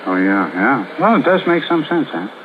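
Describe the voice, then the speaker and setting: An actor playing a meek, worried husband who wavers and hesitates, in 1950s radio drama style. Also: male voice, medium pitch, very animated, moderate pace, a little hiss or hum, lightly acted. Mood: hesitant. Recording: muffled 1950s radio recording.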